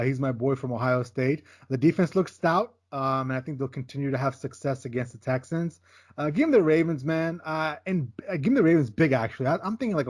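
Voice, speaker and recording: A man's voice: mid-range voice, darkish tone. A man co-hosting a sports podcast, giving emphatic, casual takes on football games and repeating "listen, man" as he goes. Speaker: podcast co-host. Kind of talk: casual sports betting chat.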